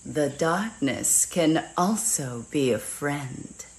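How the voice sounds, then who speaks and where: Casting: woman as dark game villainess, deep for a woman, slow, sultry, menacing; faint noise. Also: expressive, poor audio. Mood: sinister, sultry. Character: sinister, seductive dark female villain. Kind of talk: video game character lines.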